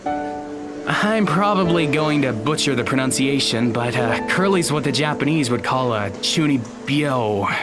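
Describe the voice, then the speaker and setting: A man plays an awkward, polite high school boy in an audio drama. He talks in a hesitant, stammering way, like an eager friend, at a middling male pitch, with music clearly playing underneath. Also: expressive, measured pace, close to an everyday voice. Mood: hesitant, friendly. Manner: hesitant, stammering, nervous chuckles.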